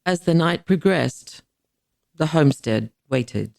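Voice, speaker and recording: Creaky-voiced woman narrating history. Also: sits low, lively intonation, slow, a little mellow, no echo, studio quality. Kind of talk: scripted dramatised history narration.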